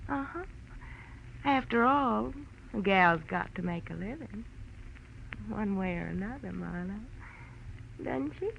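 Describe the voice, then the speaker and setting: An actress playing a sassy, mercenary young American dame in a 1940s radio crime drama, taunting and slangy. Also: female voice, medium pitch, lively intonation, measured pace, vocal fry, long pauses. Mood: sly. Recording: dull, hissy old radio recording.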